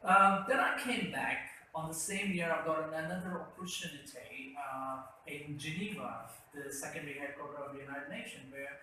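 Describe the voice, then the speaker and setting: Brief: young Pakistani-accented man, interview guest, rambling, sharp tone, roomy echo. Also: on the high side, moderate pace, few pauses. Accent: Pakistani English. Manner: rambling, many fillers and restarts. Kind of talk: telling his life story. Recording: roomy echo, faint background noise.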